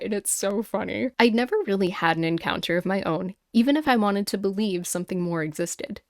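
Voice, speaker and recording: A woman podcast host reading listeners' stories aloud in a casual, conversational way with animated personal asides. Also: medium pitch, no room sound, studio quality. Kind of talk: casual chatty storytelling with read-aloud emails and asides.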